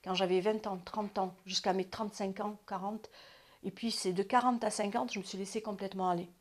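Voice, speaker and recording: A woman in her fifties gives self-care advice in a loose, chatty way. She repeats herself, restarts sentences and lets her voice drop into quiet asides. Her pitch sits in the middle range for a woman. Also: steady tone, brisk. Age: 50s. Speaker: advice channel host. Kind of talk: casual spoken advice.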